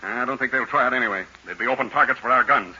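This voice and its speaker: An actor playing a steady, decisive American aviator leader in a radio serial, urgent and businesslike. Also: male voice, medium pitch, quick pace, husky. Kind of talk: 1940s radio acting. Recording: muffled old radio recording.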